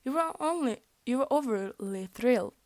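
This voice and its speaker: A woman, a native Norwegian speaker with a Norwegian accent, reading a fan story aloud in English, haltingly, often tripping over words and rereading them. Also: a little high, expressive, darkish tone, dry recording. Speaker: fanfic reader.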